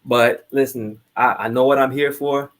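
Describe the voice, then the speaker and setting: A man chats as a podcast guest, his voice at an average pitch. He tells his stories in a candid, informal way, with casual swearing, like a veteran among friends. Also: steady tone, moderate pace, a bit bright. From Trinidad. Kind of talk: casual conversational storytelling.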